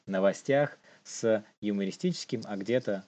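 A man co-hosting a podcast with deadpan, ironic satirical banter, recorded a little muffled. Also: fairly low pitch, moderate pace. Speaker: podcast co-host.

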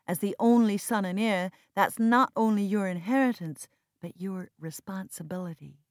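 A woman's voice: medium pitch, moderate pace, dry recording, clean studio sound, pitched above the performer's own voice, natural voice. Emphatic, loyal grieving woman voiced by a female narrator. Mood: insistent. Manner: emphatic, reproachful. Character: earnest, loyal, strong-willed woman in mourning.

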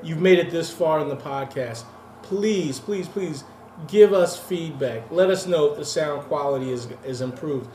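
A man's voice: on the high side, measured pace, a little mellow, reverberant, distant mic, slight background noise. A man co-hosting a podcast in casual chat, teasing and provocative, rattling off lists of names. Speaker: podcast co-host.

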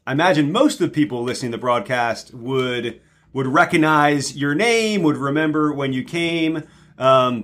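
A man's voice: mid-range voice, a bit bright. A man hosting a church podcast, opening the episode and welcoming guests in a friendly way. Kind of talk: casual chat.

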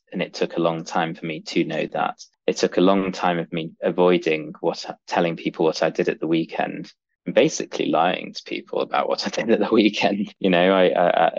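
Very deep, confident voice in echoey room. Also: fairly even intonation, normal speed, creaky. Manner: confident, fluent speaker. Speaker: podcast guest, real estate lawyer. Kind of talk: earnest interview talk. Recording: dull sound, echoey room.

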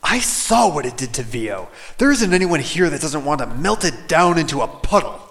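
An actor playing a nervous, deferential rebel underling in an audio drama, hesitant when speaking to a superior. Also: male voice, on the high side, very animated, normal speed, a bit bright. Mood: deferential, uneasy.

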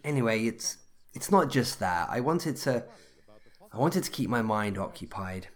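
A man's voice: medium pitch, expressive, moderate pace, natural voice. A man acting an anxious, eager-to-please, social-climbing husband in a comedy audio drama, hesitant and stammering. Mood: downcast.

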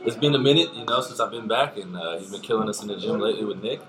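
A man's voice: lowish voice, rapid-fire, over music. A man hosting a podcast who asks easygoing questions in a casual chat. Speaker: podcast host. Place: busy bar. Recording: bar crowd chatter behind.

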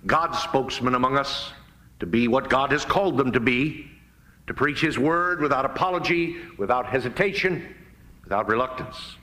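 Man, American, preacher, medium pitch, sharp forceful voice, oratorical, deliberate sermon delivery. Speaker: pastor. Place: seminary chapel service.

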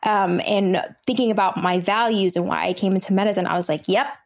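A young woman in her twenties with a New Jersey accent and a slightly hoarse voice, talking casually as a podcast guest, with many fillers and restarts. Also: medium pitch, steady tone, brisk. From New Jersey, USA. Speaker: guest, medical student. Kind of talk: podcast interview.

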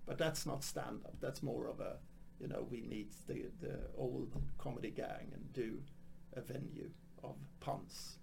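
A man's voice: mid-range voice, steady tone, measured pace, vocal fry, hardly any pauses, a little mellow, a little hiss or hum. A man, a comedy director and former stand-up, talking in a rambling, casual way with many restarts. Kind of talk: casual podcast conversation.

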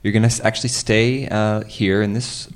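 Casting: male improviser as awkward lab researcher, low voice, halting, politely condescending bureaucrat for improvised radio comedy. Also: flat delivery, close to an everyday voice.

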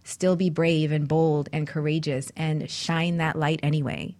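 Woman, from New York City, slightly low pitch, earnest, emphatic, casual radio conversation. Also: fairly even intonation, unhurried, a little mellow.

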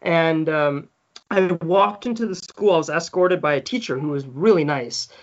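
A man's voice: fairly high pitch, moderate pace, lo-fi sound. A man in his thirties, originally from South Africa, telling stories as a podcast guest, laughing often and acting out the people he quotes. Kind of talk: casual storytelling.

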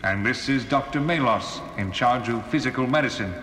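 An actor playing a courteous alien leader in an audio drama, speaking in a formal, courtly way. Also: male voice, medium pitch, normal speed, fairly bright tone, natural voice. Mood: solemn, courteous. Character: courteous male alien leader of a dying people. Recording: echoey hall reverb, faint noise.

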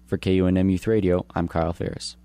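A man reading a scripted youth radio report in a measured, earnest way. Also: sits low, flat delivery, warm tone, clear, resonant tone, no echo.

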